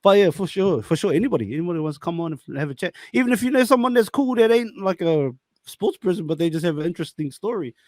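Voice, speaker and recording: A young man hosting a casual podcast interview, slangy, animated and quick to laugh. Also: high-pitched, no echo. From New Zealand. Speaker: podcast host.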